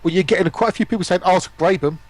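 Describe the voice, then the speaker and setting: A man's voice: high voice, fast talker. A man, a streamer guesting on a casual chat among gamers.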